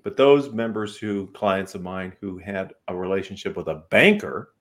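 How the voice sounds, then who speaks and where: Low-pitched male business talk show host. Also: lively intonation, moderate pace. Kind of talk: business interview.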